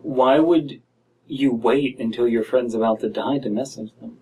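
A man's voice: medium pitch, moderate pace, warm tone. American man, casual, a bit off-mic. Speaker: co-host commenting on stories. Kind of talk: casual comedic commentary. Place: small dim room indoors. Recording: somewhat distant from mic.

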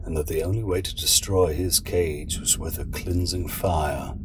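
A man's voice: sits low, steady tone, moderate pace, lightly acted. A man playing a scheming, condescending cult mastermind in an audio drama, speaking in a dramatic, portentous way. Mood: smug, reflective. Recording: noisy background ambience.

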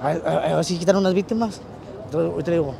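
A man's voice: fairly high pitch, fast, creaky, warm tone, some chatter behind, slight background noise. A man doing a teasing street interview with a handheld mic on a city street. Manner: joking, teasing, laughs often.

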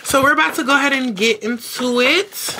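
A young woman in her late teens vlogging casually to a handheld camera, excitable and full of slangy exclamations and squeals. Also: high-pitched, brisk, noisy background. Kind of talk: casual vlog chat to camera. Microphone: handheld camera close to face.

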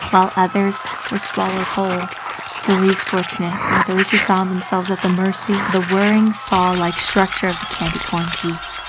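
A woman's voice: mid-range voice, noisy background. A woman reading a horror story as a scripted narrator. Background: music.

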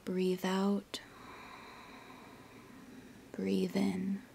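A woman leading a guided meditation in a soft, breathy voice that often nears a whisper, giving gentle instructions with long pauses. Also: mid-range voice, monotone, a little hiss or hum. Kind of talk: guided yoga nidra meditation.

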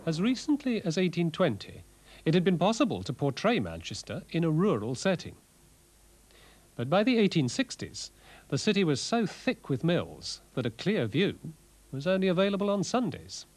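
A man giving scripted, scholarly documentary narration in a measured way, with wry asides. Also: on the high side, very animated. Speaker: presenter, art and architecture lecturer. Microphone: voice-over narration.

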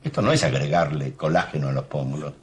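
A man's voice: very deep voice, animated, quick pace. An actor playing a grave, wary senior surgeon in a telenovela, a man in his fifties with an Argentine accent. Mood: worried, grave. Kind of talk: telenovela acting. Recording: music playing under the dialogue.

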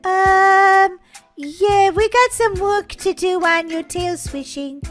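A woman's voice: extremely high pitch, slow, soft background music. A woman voicing a friendly, reassuring young female wolf in a childlike game roleplay. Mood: playful.

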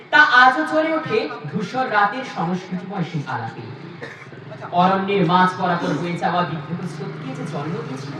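A man, a poet, giving a dramatic, declamatory recitation of verse with emphatic repeated phrases. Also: sits high. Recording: very echoey, distant mic, faint noise.

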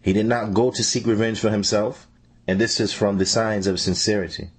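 A man with a lowish, rough-edged voice reads a religious teaching in translation. His delivery is measured, like a lecture. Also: fairly even intonation. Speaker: translator reading an Islamic scholar's answers.

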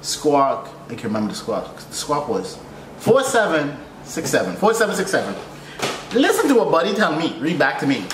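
A young man tells stories in a casual, exasperated rant, repeating himself and using slang. His pitch swings widely as he gets animated. The room adds echo and faint noise behind him. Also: normal speed. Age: young adult. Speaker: reaction video host, air traffic controller. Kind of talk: casual reaction commentary with storytelling. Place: small furnished room indoors.

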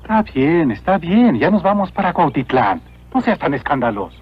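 A man playing a humble, honest young villager in a cartoon, speaking earnestly and politely with gentle deference. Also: a little high, big pitch swings, normal speed, slight background noise. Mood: soothing. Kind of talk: dubbed cartoon acting. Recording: muffled lo-fi old cartoon soundtrack.

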